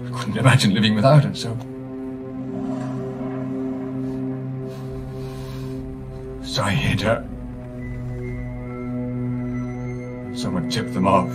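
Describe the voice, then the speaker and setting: Animated British TV presenter, cracking. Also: male voice, lowish voice, far from the mic. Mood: wistful, guilty. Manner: hesitant, theatrical, full of asides. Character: chirpy male TV presenter cracking into guilt and despair. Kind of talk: rambling broadcast monologue, acted.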